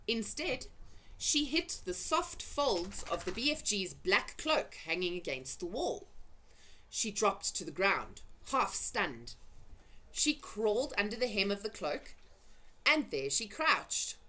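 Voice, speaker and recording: A woman narrating a children's adventure story in an expressive read-aloud style. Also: medium pitch, slow. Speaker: storybook reader.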